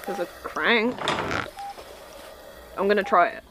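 A woman's voice: mid-range voice, slow pace, very noisy, close to an everyday voice. A woman playing a cool, practical explorer in an audio drama, with a matter-of-fact, inquisitive way of talking.

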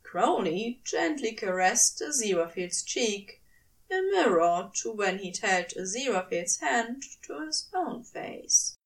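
A woman's voice: mid-range voice, expressive, unhurried, far from the mic, low-quality recording. A woman reading scripted fiction aloud as the narrator. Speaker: podfic narrator.

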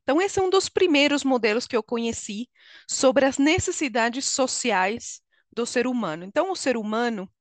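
Middle-aged woman, Venezuelan Spanish accent, higher voice, podcast guest explaining, close dry sound. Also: expressive, moderate pace, no room sound. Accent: Venezuelan Spanish accent in Portuguese. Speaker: guest, HR consultant and coach. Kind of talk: explanatory podcast interview talk.

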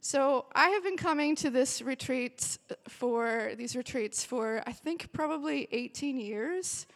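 A woman in her forties with a high, bright voice gives a warm, chatty welcome to a church retreat gathering. She sounds emotional and breaks into cheering bursts. Her voice rings out with a long reverb in a big hall. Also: a little slow. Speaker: worship director. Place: large hall with a live audience.

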